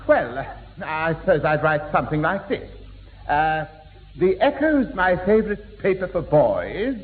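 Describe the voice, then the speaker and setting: A man's voice: a little high, big pitch swings, a little slow. A man playing a prim, giggly, easily flustered bumbler in a 1960s radio sitcom. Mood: smug. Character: fussy, giggly, well-spoken silly man, easily flustered. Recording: old tinny 1960s radio broadcast, hall, noisy.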